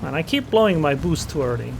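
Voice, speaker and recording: Lively, gravelly man. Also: fairly high pitch, quick pace, background noise. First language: Estonian. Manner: thinks aloud, muttering plans and small reactions. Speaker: gameplay commentator. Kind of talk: casual live gameplay commentary. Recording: game sounds under the voice. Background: game engine and gunfire sounds.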